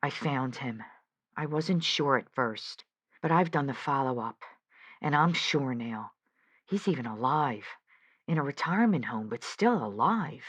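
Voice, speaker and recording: A woman's voice: a bass voice, animated, a little slow, dry recording, full character voice. A woman playing an earnest, lovelorn and anxious caller in a radio drama, speaking hesitantly and with open feeling. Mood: subdued. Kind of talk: scripted audio drama.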